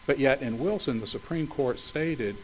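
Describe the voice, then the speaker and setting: A man arguing as attorney for a city in an appellate court, speaking in measured, formal legal phrasing, slightly hoarse. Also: medium pitch, slight background noise, rough recording. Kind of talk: appellate oral argument.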